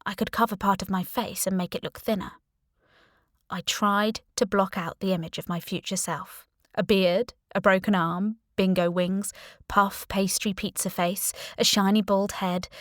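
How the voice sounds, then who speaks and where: A woman reading scripted first-person fiction as an audiobook narrator, in a wry, expressive way. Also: medium pitch, normal speed, dry recording, clean studio sound.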